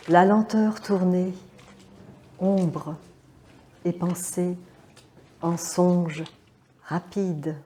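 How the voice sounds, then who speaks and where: Woman for solo poetry recital: lowish, extremely slow delivery, short phrases with long pauses, hushed. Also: a little hiss or hum. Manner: measured, pausing after short phrases.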